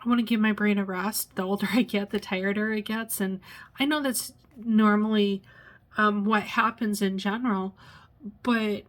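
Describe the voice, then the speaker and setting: A woman in her sixties sharing a personal vlog, pausing often and searching for words as she talks. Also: mid-range voice, steady tone. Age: 60s. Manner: halting, searches for words, many pauses and fillers. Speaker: vlogger.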